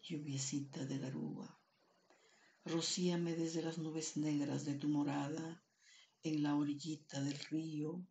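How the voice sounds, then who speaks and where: A woman in her sixties from Andean Peru, a native Quechua speaker, speaks in a halting, solemn, measured way as a poet presenting her work. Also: low-pitched, warm tone, dull audio, a little hiss or hum. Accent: Andean Peruvian. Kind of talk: introducing and reading own poetry. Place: small plain room indoors.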